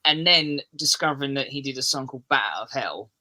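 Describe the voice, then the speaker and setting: English man from the southeast, guest on a chat show, rambling and self-mocking, bright-toned delivery. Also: medium pitch, fast talker. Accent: English, southeast England. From England. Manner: rambling, restarts sentences, self-deprecating humour. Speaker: podcast guest, metal musician. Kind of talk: casual podcast chat.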